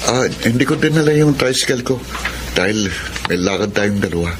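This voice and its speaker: A man voice actor playing a kind tricycle driver who gently confesses his love, in a radio drama with music under him. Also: mid-range voice, lively intonation, a little fast, noisy background, lightly acted. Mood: friendly. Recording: loud music bed under the voice.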